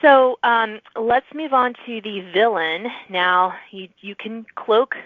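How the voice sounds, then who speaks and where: A woman's voice: fairly high pitch, takes it slowly, no echo. A woman hosting a podcast, conversationally interviewing authors about their books. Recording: muffled narrow-band radio-like call audio.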